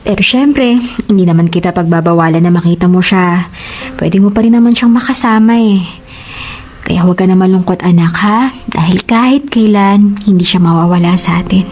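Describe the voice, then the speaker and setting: A woman playing a gentle, loving mother in a radio drama, speaking softly and emotionally. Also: fairly low pitch, moderate pace, dull audio, slight background noise, natural voice. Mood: gentle, reassuring. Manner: soft, emotional, reassuring. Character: gentle, apologetic, loving mother of young child. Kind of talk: Tagalog radio drama acting.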